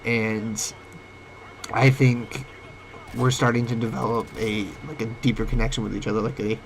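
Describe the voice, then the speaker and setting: A man's voice: medium pitch, little pitch movement, creaky, rough recording. A young man with a cold talks late at night, his voice cracking now and then. He rambles and halts, full of fillers and restarts, into a home mic whose volume rises and falls. Faint hiss sits under the voice. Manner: rambling, halting, many fillers. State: sick, voice cracking. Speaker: vlogger. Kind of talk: late-night personal talk. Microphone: home microphone, uneven volume.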